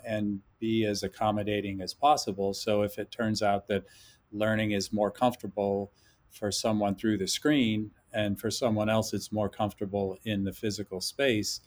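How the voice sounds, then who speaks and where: A man talking as a podcast guest and instructor, thoughtful and measured, often hedging his points. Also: fairly low pitch, darkish tone. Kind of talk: podcast interview talk.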